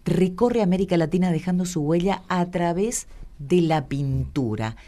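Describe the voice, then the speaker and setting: A woman's voice: lowish voice, moderate pace, dark tone. A woman with an Argentine accent hosting a casual radio chat, chatty and full of fillers. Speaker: radio host.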